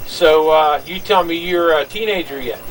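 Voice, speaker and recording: A man with a fairly high voice hosting a radio oldies show in quick, chummy patter. He sounds a little off the mic, with a light hiss in the background of an old broadcast tape. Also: far from the mic, rough recording. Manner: upbeat, chummy, fast patter. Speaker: radio disc jockey host.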